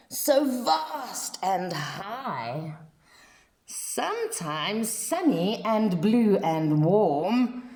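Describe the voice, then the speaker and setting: Woman, children's storyteller, very unhurried, swooping animated pitch, dramatic drawn-out phrasing. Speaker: storyteller reading a children's picture book. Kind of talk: expressive read-aloud of a rhyming children's story.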